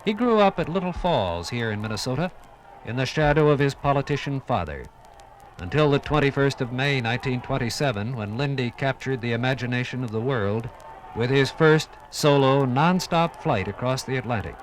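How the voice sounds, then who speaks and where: An American man narrating a 1950s radio documentary in a theatrical, oratorical manner with dramatic pauses. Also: mid-range voice, animated, a little hiss or hum, low-quality recording. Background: music.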